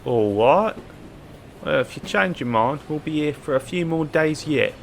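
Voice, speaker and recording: A man playing an earnest, polite activist with a gravelly voice in a sci-fi audio drama. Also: on the high side, animated, measured pace, slight background noise. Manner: polite, explanatory. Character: earnest, soft-sell male android-rights activist.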